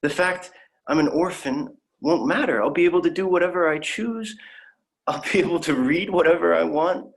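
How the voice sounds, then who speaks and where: A man's voice: on the high side, normal speed, creaky, rough recording. A young man playing a naive, idealistic alien youth in a dramatic reading, earnest and prone to stammering. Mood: hopeful, idealistic. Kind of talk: dramatic fan fiction reading.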